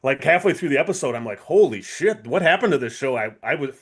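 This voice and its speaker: A male podcast co-host speaks in relaxed everyday conversation. His pitch is average for a man, and his wording is informal, loose and a little sweary. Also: fast talker, husky. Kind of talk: casual chat.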